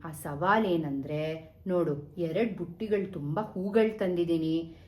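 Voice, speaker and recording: A woman storyteller voicing a proud queen who sets a challenge in a measured way. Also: on the low side, lightly acted.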